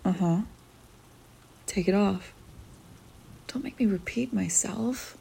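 A woman doing a breathy, commanding temptress. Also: mid-range voice, mellow, exaggerated voice, poor audio. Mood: teasing. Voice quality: breathy whisper. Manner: slow, commanding, teasing. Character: dominant, teasing young woman partner. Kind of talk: whispered ASMR roleplay.

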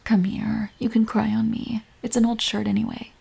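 A woman's voice: mid-range voice, unhurried, gravelly, husky. A woman acting a hurt, loving girlfriend in a romantic audio roleplay, speaking emotionally and directly to her partner. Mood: apologetic, gentle. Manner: emotional, swinging from sharp reproach to tender whispers. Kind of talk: scripted romantic audio roleplay, addressing the listener.

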